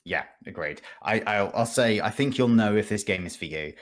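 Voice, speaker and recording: A man with a fairly low voice chats as a guest on a video game podcast. His delivery is casual and conversational, with a dry, tongue-in-cheek sense of humour. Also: expressive, moderate pace. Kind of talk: casual podcast chat about video games.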